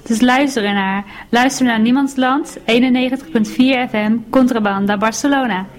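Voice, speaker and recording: A woman's voice: on the high side, moderate pace. A woman delivering a scripted radio station jingle as the announcer. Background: prominent music with drums.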